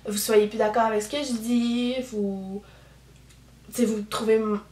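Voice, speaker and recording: A woman's voice: fairly high pitch, little pitch movement, a little slow, mellow. An 18-year-old woman with a strong Québécois French accent, chatting candidly to her viewers in a casual vlog, full of fillers. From Quebec. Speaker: YouTuber. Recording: roomy echo, camera at a distance.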